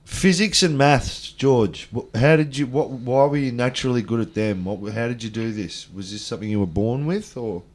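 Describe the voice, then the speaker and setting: A man's voice: mid-range voice, moderate pace, few pauses. A man hosting a radio interview, asking probing questions and openly emotional about his guest's story. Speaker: radio host.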